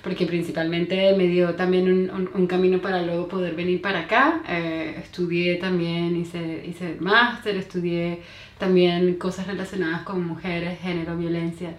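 A woman's voice: fairly low pitch, level tone, moderate pace. Hesitant Venezuelan woman in echoey room. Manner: hesitant, many fillers and restarts. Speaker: interview guest. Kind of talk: casual conversational interview.

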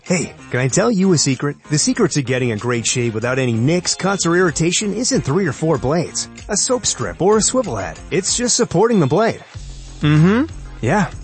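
A man's voice: a little high, very animated, normal speed. A man reading a scripted advertisement in a conversational spokesman's style. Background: faint music.

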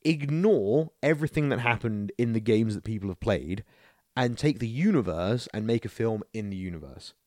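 A man's voice: on the low side, expressive, moderate pace, a little mellow, dry recording, clean studio sound. A man co-hosting a casual podcast, giving opinions in a rambling way with incredulous rhetorical questions. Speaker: podcast co-host.

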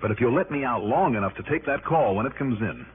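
An indignant private investigator in a sixties radio drama. He is wry and slangy, pushing his case insistently with quick, lively swings of pitch. The sound is thin and narrow, like an old broadcast. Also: breathy edge. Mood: urgent, pleading. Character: indignant, insistent male private investigator wrongly jailed for murder. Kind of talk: 1960s radio drama acting. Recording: tinny, noisy 1960s radio recording.

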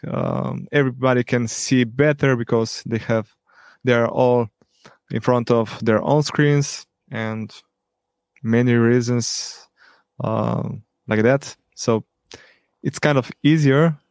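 Slow, gravelly man with frequent ums. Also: mid-range voice, fairly even intonation, a little mellow, no echo. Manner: hesitant, many ums and pauses. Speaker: teacher and podcast guest. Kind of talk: unscripted interview answers.

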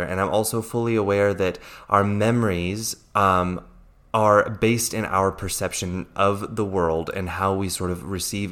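American male podcast host, mindset coach, very low voice, sincere and reassuring. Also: fairly bright tone. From Virginia, USA. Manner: earnest, reassuring, repeats himself. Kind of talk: solo podcast monologue.

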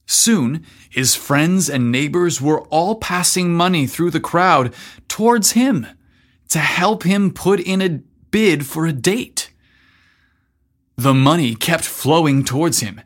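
Guy reading a story out loud, takes his time, expressive, acts out the dialogue. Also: on the high side. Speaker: podcast story narrator. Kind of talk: scripted fiction narration.

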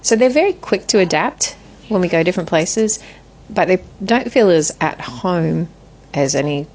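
A woman in her forties, a guest in an interview, talking in a thoughtful, measured way with frequent restarts. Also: on the low side, lively intonation, a little mellow. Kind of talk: reflective interview conversation.

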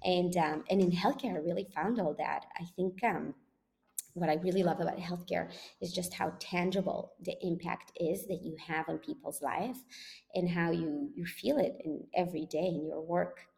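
A woman from Israel, a startup chief executive, answering interview questions thoughtfully with many pauses and self-corrections. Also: lowish voice, fairly even intonation, vocal fry, a little mellow, big-room sound. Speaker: startup CEO guest.

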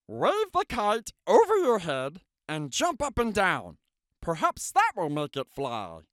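A man's voice: sits high, huge pitch swings, slow pace, a bit bright, no room sound, clean studio sound, voice pushed up, exaggerated voice. A man voicing Frog, a cheerful, patient grown male frog, reading a storybook aloud. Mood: encouraging. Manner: encouraging, storytelling.

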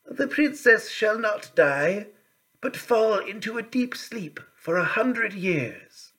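A man voicing a gentle, wise storybook woman who speaks in slow, solemn pronouncements. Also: high voice, sing-song, slightly rough, voice pushed up, full character voice, low-quality recording.

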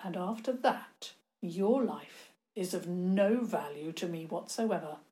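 A woman voicing a cruel older lord in a storybook, sarcastic and quietly threatening. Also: medium pitch, measured pace, close to an everyday voice. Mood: quiet menace. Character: cruel, sneering lord, menacing older uncle.

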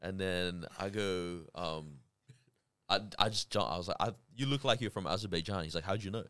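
A man's voice: low voice, moderate pace, smooth, no room sound, clean studio sound. A man from Iraq chatting casually as a podcast co-host, telling anecdotes in slangy language and acting out the dialogue. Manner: animated, slangy storytelling with acted-out dialogue. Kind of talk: casual banter and anecdotes with friends.

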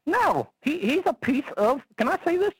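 A man voicing a loud, blustery Texan caller with a Texan accent, brash and folksy, calling people boy. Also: very high voice, sing-song, normal speed, dry recording, poor audio.

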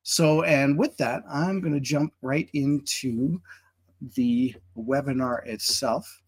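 A man's voice: mid-range voice, steady tone, slow, breathy edge. A man hosting a live webinar over a video call, chatty and promotional, with many filler words as he introduces a guest. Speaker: webinar host.

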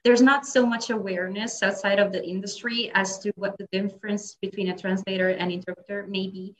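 A woman's voice: mid-range voice, steady tone, fairly quick, low-quality recording. A young adult woman with an Italian accent, a native Italian speaker, chatting as a podcast guest about her work as an interpreter, with frequent laughs and fillers. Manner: chatty, laughs often, many fillers and restarts.

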